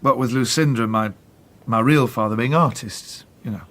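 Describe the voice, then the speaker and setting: A man's voice: mid-range voice, normal speed, fairly bright tone, natural voice. An actor as tentative, awkward Englishman. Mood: earnest. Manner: stammering, tentative. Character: awkward, earnest adopted son, polite and tentative. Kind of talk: naturalistic radio drama acting.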